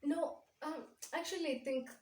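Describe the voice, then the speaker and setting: A woman's voice: high-pitched, fairly even intonation, normal speed, darkish tone. A young woman with a Kenyan accent chatting casually with her sister, giggly and often searching for her words. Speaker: vlogger. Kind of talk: casual sibling tag chat. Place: small bare room indoors. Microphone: camera across the room. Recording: echoey room, distant mic.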